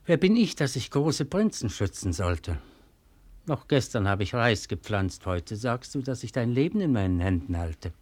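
An actor playing an old, weary and suspicious Malay ruler in a German radio play, speaking in a measured, authoritative way with a husky voice. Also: male voice, mid-range voice, big pitch swings, darkish tone, no room sound.

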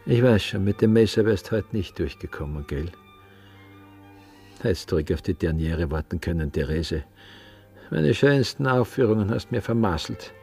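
A man's voice: on the low side, lively intonation, normal speed, vocal fry, darkish tone, soft background music. An actor playing a bitter, world-weary older man of the theatre in a radio drama, colloquial and sardonic, with an Austrian accent. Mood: bitter, tender.

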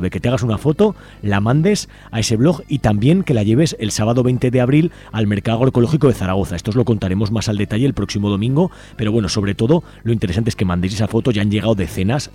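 A man with a low, mellow voice speaks Spanish with an accent from Spain. He presents community radio announcements informally, inviting listeners in directly. His delivery moves along very quickly and stays conversational.

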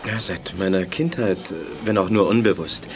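An actor playing an earnest, polite and idealistic telepath in a 1980s German radio play, speaking haltingly. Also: male voice, deep voice, lightly acted. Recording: music behind studio radio drama.

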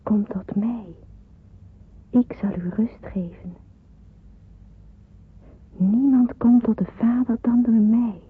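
An actress playing an earnest, devout young woman in wartime hiding in a radio drama, with a husky, breathy voice. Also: a little high, slow, pauses often, full character voice. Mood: devout, solemn. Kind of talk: radio drama acting with narrated monologue. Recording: muffled, phone-like radio play recording.

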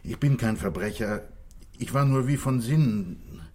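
An actor playing a contrite, desperate fugitive from a wealthy life, earnest and pleading, in 1960s radio play style. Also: male voice, mid-range voice, measured pace, a little mellow, lightly acted. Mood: subdued, pleading.

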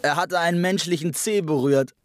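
A man's voice: a little high, normal speed, close to an everyday voice. A man narrating a literary radio play in a scripted, dramatic reading. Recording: music behind narration.

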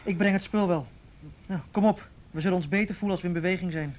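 A brisk, confident man played by an actor in a radio drama, reassuring and decisive. He talks fast in a fairly high voice, on a slightly dull recording with faint noise. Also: natural voice. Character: brisk, reassuring man taking charge of secret plans.

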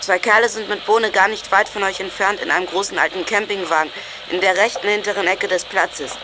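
An actor playing an eager young scout of a detective gang, reporting briskly in a German children's radio play. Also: medium pitch, steady tone, noisy background, natural voice. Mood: urgent. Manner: brisk, reporting over walkie-talkie.

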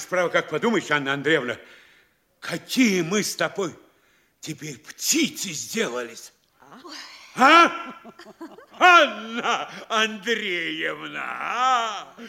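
Blustering, gloating town mayor, wildly animated. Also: male voice, fairly high pitch, slow, creaky, exaggerated voice. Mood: smug delight. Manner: blustering, exclamatory, swinging from gloating glee to threats. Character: boastful, gloating provincial Russian town mayor, middle-aged family man. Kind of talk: classic Russian stage comedy audio play.

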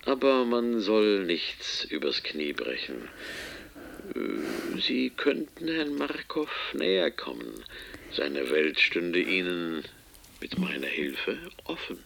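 Insinuating male schemer, radio play: deep, very slow, gravelly, coolly persuasive. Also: very animated, fairly bright tone, full character voice. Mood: oily, persuasive. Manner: measured, insinuating, hesitant pauses. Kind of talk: German radio drama acting.

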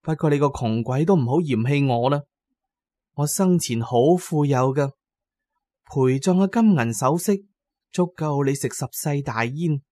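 A man's voice: on the high side, animated, a little slow, dark tone, no room sound. A man voicing an aggrieved, proud and coaxing female ghost inhabiting a paper doll in a horror audio drama. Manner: reproachful, persuasive.

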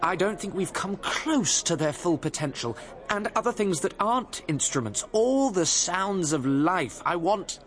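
An actor playing an earnest, dreamy young would-be composer in a radio drama, fervent and self-deprecating. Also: male voice, high voice, lively intonation, measured pace, a bit bright. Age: young adult.

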